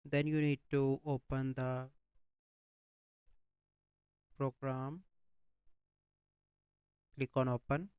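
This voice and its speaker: A man gives step-by-step software instructions at a slow pace, with very little movement in pitch. His voice is clean and smooth, and he keeps to plain, instructional wording as a tutorial host. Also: level tone, no room sound, studio quality.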